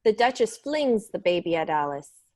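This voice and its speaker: A woman's voice: fairly low pitch, sing-song, moderate pace, a little mellow. A woman narrator reading scripted stage directions for a children's story in a dramatic reading.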